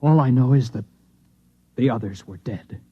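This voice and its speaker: An actor playing a dismal, shaken Martian man, halting and earnest, in an old-time radio drama. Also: mid-range voice, husky, close to an everyday voice. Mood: haunted, distressed. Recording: dull old radio recording.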